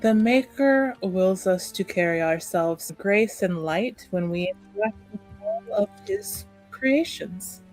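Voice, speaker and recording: A woman acts out a courteous female attendant in a fantasy roleplaying game. Her voice sits at a middle pitch, with a measured, formal and pious manner. Faint music plays underneath. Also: big pitch swings, a little mellow, low-quality recording. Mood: devout, polite. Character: polite, devout young female noble house attendant. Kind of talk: improvised tabletop roleplay.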